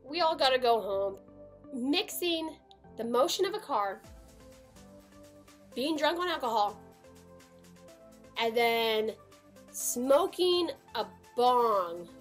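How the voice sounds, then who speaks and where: Young American female storyteller, higher register, dramatic delivery, home vlog setup with some music. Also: animated, slow pace, pauses often. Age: 20s. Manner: dramatic, emphatic, gesturing. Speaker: storytime vlogger. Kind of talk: animated personal storytelling to camera. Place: small room at home.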